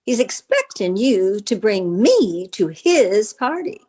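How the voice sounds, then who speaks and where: A woman's voice: medium pitch, big pitch swings, slow, dark tone. A woman playing a coquettish, scheming girlfriend in an old-time radio comedy, teasing and drawing out her words. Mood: coy, scheming.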